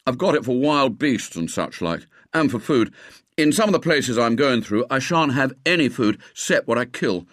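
A man's voice: mid-range voice, lively intonation, moderate pace, no room sound, studio quality, natural voice. A man voicing an earnest, stubborn schoolboy adventurer from a storybook, stolid and self-important. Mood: patient. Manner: earnest, stolid, boyish slang.

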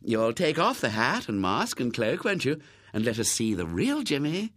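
A man's voice: medium pitch, wildly swooping pitch, normal speed, a bit bright, no room sound, pitched above the performer's own voice. A man puts on a middle-class storybook mother, fussy and haughty, with a raised, swooping voice. She gushes over children she favours and is indignant with those she does not, all recorded dry. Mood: doting, gushing. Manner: gushing, indignant when crossed.

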